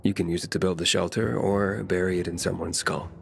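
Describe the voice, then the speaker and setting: An actor playing a guarded, cynical young wanderer in a video game, talking bluntly and casually. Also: male voice, sits low, steady tone, brisk, gravelly, a little hiss or hum. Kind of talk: video game cutscene dialogue.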